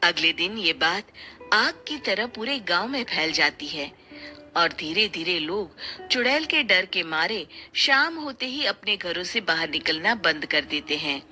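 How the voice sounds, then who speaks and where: A woman's voice: fairly low pitch, very animated, unhurried, pushed and bright, faint music behind. A woman narrating a scripted story in a dramatic storytelling style.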